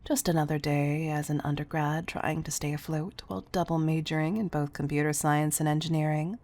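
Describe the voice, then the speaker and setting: A woman playing a commanding but fond CEO in an intimate roleplay audio, speaking softly and deliberately to the listener, often near a whisper. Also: low-pitched. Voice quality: breathy, soft. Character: commanding, tough-loving female CEO, fond underneath.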